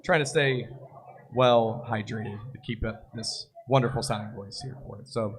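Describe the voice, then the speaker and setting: A man with a raspy voice hosting a live soccer show, chatting casually, joking and reading viewer comments. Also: on the low side, fairly even intonation, normal speed. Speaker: podcast host. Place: busy brewery. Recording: noisy live bar recording. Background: crowd chatter.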